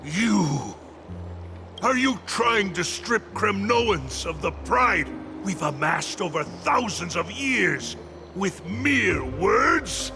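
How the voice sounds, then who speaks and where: An old warrior teacher in a fantasy game. He speaks slowly and formally in a gravelly voice, stern and devoted, over clear music. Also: sits high, sing-song, full character voice. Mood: outraged. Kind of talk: scripted video game acting.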